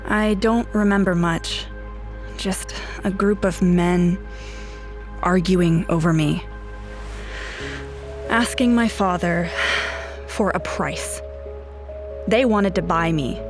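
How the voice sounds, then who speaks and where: A woman's voice: lowish voice, slow, long pauses. Brooding policewoman over music. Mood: haunted, subdued. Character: guarded, haunted female police officer with criminal father. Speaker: actor in audio drama. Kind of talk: scripted audio drama acting with first-person narration. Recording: voice over prominent music bed. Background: dramatic music.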